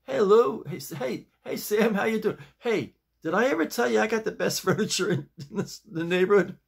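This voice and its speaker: A man's voice: high voice, expressive, moderate pace, vocal fry, breathy edge, pitched above the performer's own voice, full character voice. A man voicing a boastful, chummy male neighbor in a hearty, bragging way, as part of a casual anecdote.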